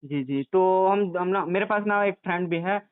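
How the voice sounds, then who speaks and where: A man's voice: high voice, normal speed, clean tone, no room sound. A young man in a phone conversation, negotiating in a hesitant, deferential and pleading manner. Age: young adult. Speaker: job applicant on a phone call. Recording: tinny, narrowband phone call recording.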